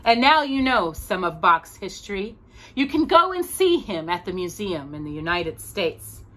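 A middle-aged woman reading a children's book aloud expressively, with deliberate, dramatic phrasing, as a storytime host. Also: medium pitch, pushed and bright. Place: small room at home with bookshelves.

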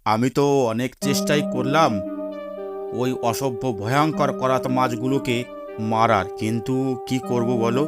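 A man's voice: medium pitch, fairly even intonation, moderate pace, close to an everyday voice. A man playing a fretful, commanding king in a fairy-tale cartoon, deliberating theatrically. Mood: troubled. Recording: music behind the voice.

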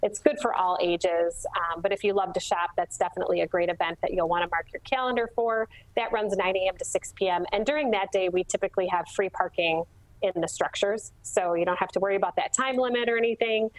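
A woman's voice: lowish voice, brisk, rough recording. A middle-aged woman giving event information as an interview guest over a video call on an earbud mic. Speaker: interview guest, shopping district representative. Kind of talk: informational interview talk about local events. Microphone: earbud headset on a video call.

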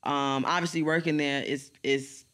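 Young NY woman, rapper guest: deep, strong voice, blunt slangy delivery, studio boom mic. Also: normal speed. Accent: New York. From New York City. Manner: blunt, animated, street slang. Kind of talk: casual interview chat. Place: podcast studio lounge. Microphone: studio mic on boom arm, headphones.

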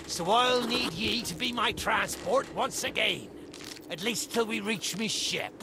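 An actor playing an old, wily undead pirate, a talking skull, in a video game, speaking in old pirate talk. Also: male voice, high-pitched, very noisy, heavily acted. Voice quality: gravelly. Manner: old pirate speech, drawn-out and scheming. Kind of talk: video game character dialogue. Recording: game audio with loud music behind.

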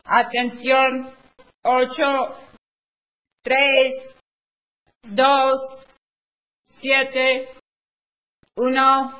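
A woman playing a formal, impersonal radio operator in a video game, giving measured procedural announcements. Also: sits high, completely flat delivery, pushed and bright, heavily acted, low-quality recording.

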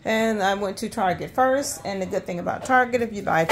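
A middle-aged woman hosting a casual grocery haul vlog at her kitchen counter, talking fast and chatty with lots of ums while filming on a handheld phone. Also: mid-range voice, background noise. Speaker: host of a budget vlog. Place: indoors at a home kitchen counter. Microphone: handheld phone filming items.

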